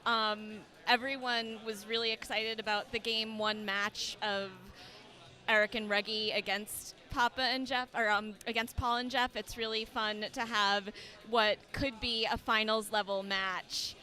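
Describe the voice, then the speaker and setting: A woman's voice: a little high, little pitch movement, a little slow, pushed and bright. A woman co-commentating a tournament livestream in an upbeat, chatty way. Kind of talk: casual sports commentary.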